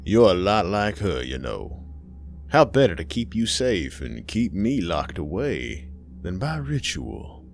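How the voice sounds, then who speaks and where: A man voicing a sly, ancient female demon in a horror story, taunting and theatrical with drawn-out phrasing. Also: sits low, big pitch swings, gravelly, faint music behind, full character voice.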